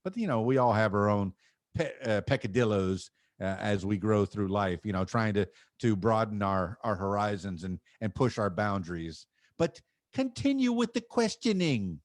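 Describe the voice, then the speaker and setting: A man's voice: on the low side, expressive, moderate pace, dry recording. Wry man in his fifties, dry close sound. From Miami. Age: 50s. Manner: thoughtful, wry, self-mocking humor. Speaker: podcast guest. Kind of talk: casual interview chat.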